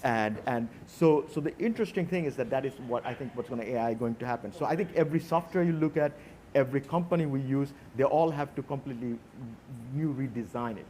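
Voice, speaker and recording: A man's voice: mid-range voice, fast talker, a little mellow, slight background noise. A man from Bangladesh with a Bangladeshi accent, speaking reflectively and telling anecdotes as a conference panelist.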